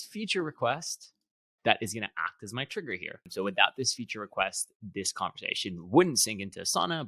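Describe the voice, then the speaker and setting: A man guides the listener through a tutorial in a conversational, explanatory way. His mid-pitched voice moves up and down a lot and has a bright, forceful tone. The sound is close and dry, with very little room. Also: no room sound. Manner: explanatory, step by step. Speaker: software product demonstrator.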